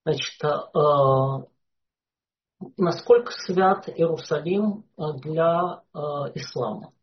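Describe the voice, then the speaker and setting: A lecturer giving an unscripted talk, hesitating often and filling pauses with drawn-out "uh" sounds. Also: mid-range voice. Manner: hesitant, frequent "uh" fillers. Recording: dull lo-fi recording.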